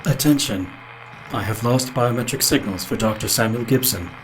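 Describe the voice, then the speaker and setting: A man playing a calm ship AI in a science fiction audio drama, formal, polite and measured. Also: medium pitch, background noise, natural voice. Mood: formal alert. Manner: formal, measured, emotionless delivery. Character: calm male ship computer AI, polite and unfeeling.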